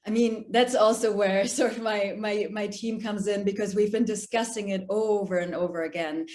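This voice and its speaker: A woman documentary filmmaker who grew up in Germany, fielding questions at a festival Q&A. Her voice sits at a middle pitch, and she circles her points with fillers and restarts. The sound has a roomy echo around it. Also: moderate pace. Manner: many fillers and restarts, animated. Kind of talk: festival Q&A interview.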